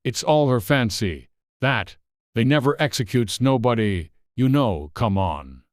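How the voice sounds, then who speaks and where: A gruff storybook griffin , acted by a man, amused and offhand. He talks slowly in rough, uneducated phrases with big swings of pitch. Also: animated, no room sound, studio quality, close to an everyday voice. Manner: uneducated, ungrammatical, offhand speech. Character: gruff, amused, rustic male griffin creature. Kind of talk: dramatized audiobook character voice.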